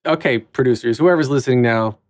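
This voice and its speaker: Male podcast host, mid-pitched, upbeat and chatty, dry close sound. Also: fairly quick, dry recording. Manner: enthusiastic, chatty, riffs on playful ideas. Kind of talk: casual interview conversation.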